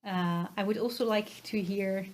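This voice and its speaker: A woman with a Dutch accent hosts a casual vlog-style podcast. Her voice sits at a middling pitch for a woman. She talks in a hesitant, halting way and comes across as modest about herself, speaking directly to her viewers. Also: fairly even intonation, darkish tone. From Netherlands. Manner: hesitant, halting, self-effacing. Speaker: podcast host.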